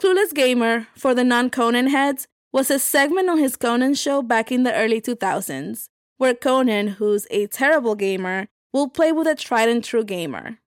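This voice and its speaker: A woman's voice: a little high, animated, moderate pace, hardly any pauses, dry recording, studio quality. A woman hosting a podcast, reading scripted narration. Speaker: podcast host. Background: music bed.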